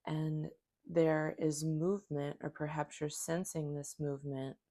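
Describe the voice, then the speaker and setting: A woman with a hoarse voice from a cold, giving a calm, flowing spiritual tarot reading. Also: deep voice, a little slow. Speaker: tarot reader.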